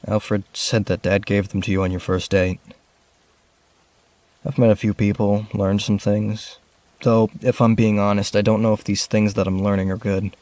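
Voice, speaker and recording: Man playing a low-voiced, lonely teenage orphan. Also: flat delivery, normal speed, a little mellow, no room sound, natural voice. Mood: wistful, reflective. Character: lonely, grieving, earnest orphaned rich teenage boy. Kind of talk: audio drama acting.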